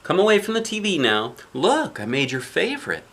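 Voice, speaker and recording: A man voicing a protective mother who briskly reassures and brushes things off, in a picture book read aloud. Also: on the high side, wildly swooping pitch, fairly bright tone, voice pushed up, full character voice. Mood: reassuring. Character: protective mother, reassuring, dismissive of hard truths.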